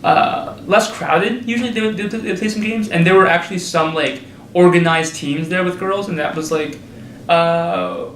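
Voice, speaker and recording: A young man from Connecticut answers hesitantly and restarts his sentences. His voice sits on the higher side. The room around him is echoey. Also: normal speed, fairly bright tone. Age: young adult. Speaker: student.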